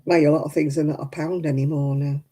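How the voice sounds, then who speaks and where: A woman in her seventies hosting a crafting livestream, chatting homely and warmly with viewers by name and laughing often. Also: low-pitched, fairly even intonation, fast talker, breathy edge. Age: 70s. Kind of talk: casual chat reading out viewer comments.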